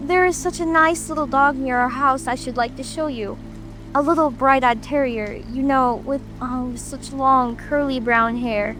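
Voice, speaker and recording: A woman's voice: sits high, moderate pace, fairly bright tone. A woman playing a polite, curious little girl who speaks earnestly and apologetically in a dramatic audiobook. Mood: eager. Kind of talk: dramatic audiobook character reading. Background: music, rain ambience.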